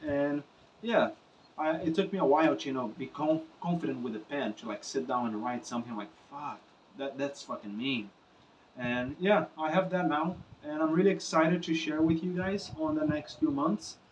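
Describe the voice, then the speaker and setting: A man's voice: on the high side, normal speed, distant mic, a little hiss or hum, poor audio. Brazilian-accented young man rambling casually. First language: Portuguese. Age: young adult. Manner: casual, rambling, with frequent filler phrases and swearing. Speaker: musician vlogger. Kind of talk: unscripted talk to camera.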